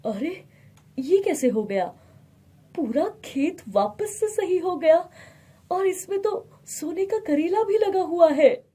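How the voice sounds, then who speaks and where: A woman's voice: extremely high pitch, expressive, slow, dark tone, voice pushed up, exaggerated voice. A woman voicing an honest, devout poor male farmer in a moral storybook tale, earnest, humble and steadfast. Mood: amazed.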